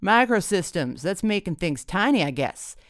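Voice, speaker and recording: A woman's voice: fairly low pitch, big pitch swings, normal speed, dry recording, studio quality, pitched above the performer's own voice. A woman voicing a crass, drunken middle-aged American father, blustering and sarcastic as she tells a story. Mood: tipsy, needling.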